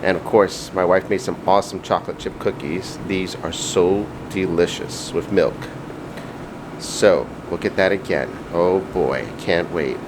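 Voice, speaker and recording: A man's voice: low voice, unhurried. A man chatting casually to viewers as a vlogger, in a rambling way, recorded in a noisy home kitchen.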